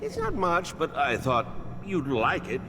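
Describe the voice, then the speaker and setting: An eccentric, swooping, kindly medic. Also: male voice, a little high, wildly swooping pitch, measured pace, music in the background. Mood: fussy, affectionate. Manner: fussy, rambling, quaint wording, swooping. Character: eccentric, kindly, absent-minded older male medic friend. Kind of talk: scripted video game dialogue.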